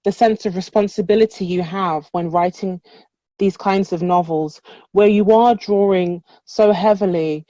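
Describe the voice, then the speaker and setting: A woman interviewing an author at a conference, posing long academic questions in a measured way with frequent ums. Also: medium pitch, dark tone, no room sound. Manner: measured, hesitant with um and restarts. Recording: dull lo-fi dry sound.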